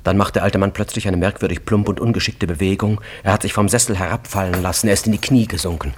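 A man narrating a literary radio drama in a measured, grave manner. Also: low-pitched, steady tone, a little hiss or hum, natural voice.

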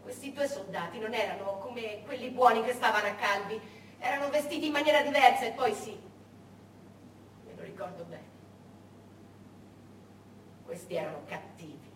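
An actress playing a woman witness who haltingly recalls her wartime childhood in theatrical testimony. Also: a little high, lively intonation, fairly bright tone. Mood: hushed unease. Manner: halting, repeating, remembering aloud. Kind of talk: theatrical first-person testimony. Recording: echoey stage, distant mic, faint noise.

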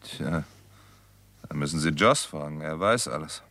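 A man's voice: extremely low pitch, unhurried, sharp tone, no echo, heavily acted. A man playing a cool, decent, reserved doctor in a 1960s German radio crime drama, speaking drily and matter-of-factly. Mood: guarded, dry. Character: cool, decent, reserved male doctor turned amateur sleuth.

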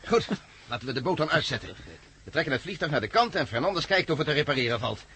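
An actor playing a decisive, wary expedition commander in a radio play, giving orders briskly. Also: male voice, fairly high pitch, lively intonation, a bit bright, a little hiss or hum. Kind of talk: radio drama dialogue.

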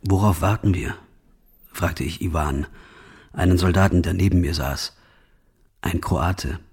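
An actor playing a reluctant, disillusioned soldier, narrating a radio drama monologue in a quiet, resigned, brooding manner. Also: male voice, low voice, measured pace, breathy edge, heavily acted. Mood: weary, longing. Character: reluctant, disillusioned soldier, former locksmith, male, weary.

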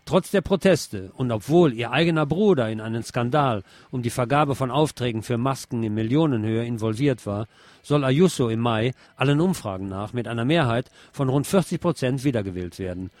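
A man's voice: medium pitch, expressive, moderate pace, a little mellow, no echo. A man hosting a program, reading press articles aloud and adding brief comments of his own.

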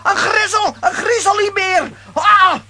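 An actor playing a boastful, bumbling middle-aged comic hero in a children's radio play, blustering, stammering and exclaiming. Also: male voice, very high-pitched, a little slow, very noisy. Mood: alarmed, excited.

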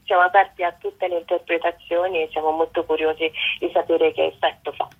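A woman's voice: fairly low pitch, level tone, brisk. A woman, interviewed over the telephone as a stage author and actress promoting her own show. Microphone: phone call. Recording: muffled, narrow telephone line.

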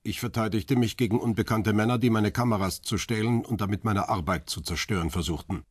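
A middle-aged photographer held for questioning in a radio play. The voice is fairly low and husky, recorded dry and close. He keeps himself controlled, with firm, formal defiance. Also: a little fast, no room sound. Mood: firm, defiant. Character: defiant, controlled middle-aged male paparazzo under interrogation. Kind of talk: radio crime drama acting.

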